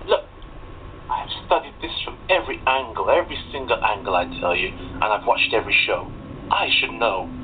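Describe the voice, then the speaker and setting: A man's voice: lowish voice, brisk, close to an everyday voice. A man playing an obsessive, insistent TV fan in a comic radio play, emphatic, on a noisy, tinny recording. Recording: noisy, tinny phone-like sound.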